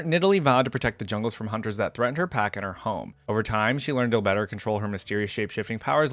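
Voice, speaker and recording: A man's voice: medium pitch, dry recording. A man hosting a reaction video, reading lore aloud and adding casual commentary.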